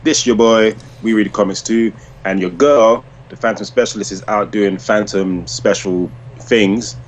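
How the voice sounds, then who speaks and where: A man with a fairly low voice talks casually as a podcast co-host. His delivery is informal and full of slang, with the odd swear word. There is noticeable noise behind him. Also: steady tone, unhurried. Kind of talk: casual chat about comic book movies.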